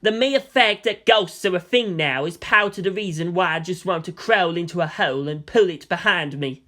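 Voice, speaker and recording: A man's voice: high voice, moderate pace, pushed and bright. A man voicing a despairing army colonel, bitter and weary, with emphatic delivery. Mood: startled, exasperated.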